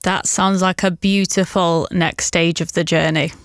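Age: adult. Sex: woman. Role: interviewer. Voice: low, dry. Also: little pitch movement, a little slow, no echo. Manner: gentle, probing questions. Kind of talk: podcast interview.

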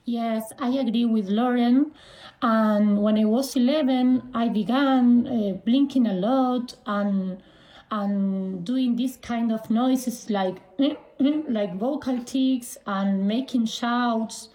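Slow, halting Argentine woman in her forties. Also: fairly high pitch, mellow, reverberant, poor audio. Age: 40s. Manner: halting, with frequent pauses and restarts. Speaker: guest. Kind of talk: interview answers.

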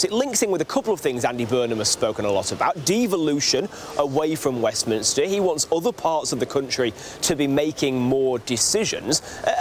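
Male political correspondent, faint street noise behind. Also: mid-range voice. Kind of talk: explanatory news analysis. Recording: faint outdoor traffic noise.

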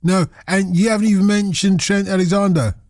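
A man's voice: high voice, fairly even intonation, brisk, a little mellow, dry recording, studio quality. A man hosting a radio phone-in, chatting casually, teasing his callers and cutting in over them.

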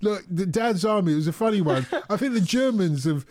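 A man hosting a radio phone-in, teasing his co-hosts and callers in jokey, quick back-and-forth banter. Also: sits high, animated, darkish tone. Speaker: radio show host.

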